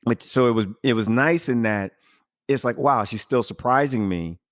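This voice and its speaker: A man with a mid-range voice hosts a casual podcast discussion in a dry, close-sounding recording. He recaps a story while thinking aloud, pausing over his words and paraphrasing lines of dialogue. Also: mid-range voice, no room sound. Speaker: podcast host.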